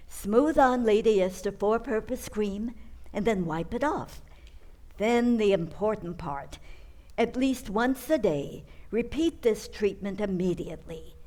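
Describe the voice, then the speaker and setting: Slow, persuasive woman radio announcer. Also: mid-range voice, a little mellow. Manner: measured, persuasive. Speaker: advertisement announcer. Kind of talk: 1940s radio commercial delivery.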